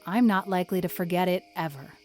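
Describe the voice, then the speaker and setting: A woman voicing a stern, reserved woman of sixty who speaks in a brisk, dry, severe way. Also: fairly low pitch, a little mellow, faint music behind, natural voice.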